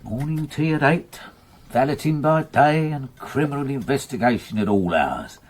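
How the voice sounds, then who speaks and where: An actor playing a wry, meticulous English manservant in a radio drama, speaking formally and precisely with dry, long-suffering patience. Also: male voice, medium pitch, lively intonation, moderate pace, natural voice. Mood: wryly long-suffering. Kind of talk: British radio drama acting.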